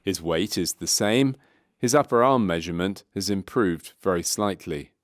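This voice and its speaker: Male narrator for medical training film: lower register, measured, clinical, scripted. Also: expressive, no room sound, clean studio sound.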